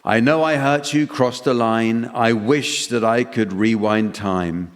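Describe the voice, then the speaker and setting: A man chairing a comedy radio panel game, reading out verses and jokes in a dry, deadpan way. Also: mid-range voice, takes it slowly. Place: theatre stage before an audience. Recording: noisy hall with long reverb.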